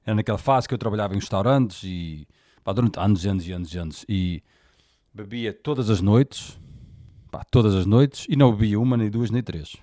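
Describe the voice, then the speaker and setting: Portuguese guy in his 40s, chatty podcast host, mellow voice, dry close sound. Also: fairly low pitch, no echo. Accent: European Portuguese. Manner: candid, colloquial, frequent fillers like "pá". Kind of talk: personal monologue podcast.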